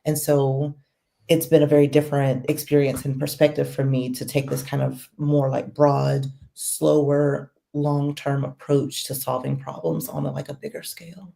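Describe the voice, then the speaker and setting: A woman guest on a podcast interview, talking in a measured, thoughtful way with many fillers. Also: low voice, level tone, creaky, mellow. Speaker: guest, political volunteer. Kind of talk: casual interview.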